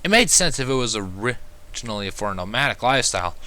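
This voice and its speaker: A man's voice: mid-range voice, very animated, fast talker, pushed and bright. A man reading a first-person fan fiction story aloud as scripted narration.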